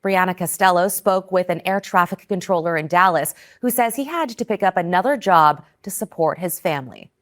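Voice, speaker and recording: A woman's voice: lowish voice, a little fast, few pauses, fairly bright tone. A woman reading scripted news as an anchor.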